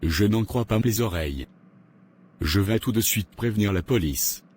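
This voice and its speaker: A man's voice: on the low side, lively intonation, moderate pace, a little mellow, slight background noise, natural voice. A man playing earnest devout father. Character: devout middle-aged father.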